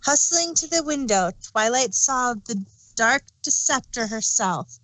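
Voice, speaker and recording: A woman's voice: a little high, expressive, unhurried, fairly bright tone, no echo, rough recording. A woman reading fan fiction aloud as an amateur storyteller and narrator.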